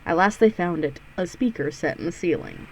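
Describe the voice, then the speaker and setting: A woman's voice: on the low side, animated, fairly quick, a little hiss or hum, low-quality recording. A woman hosting a let's play, reading a visual novel aloud with sarcastic, giggly asides and free swearing. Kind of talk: casual, joking read-through with asides.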